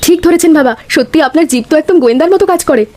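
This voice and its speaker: A woman's voice: high voice, very fast, darkish tone, lightly acted. A woman playing a playful, affectionate young daughter-in-law in village cartoon dialogue. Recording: faint music behind the voice.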